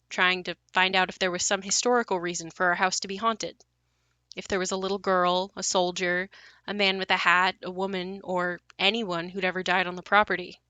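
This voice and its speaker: A woman's voice: medium pitch, bright, forceful tone, no room sound, lightly acted. A young woman playing a wry narrator in an audio play, telling of her haunted house in a conversational monologue. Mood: wry, reflective. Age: young adult. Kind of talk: scripted dramatic monologue.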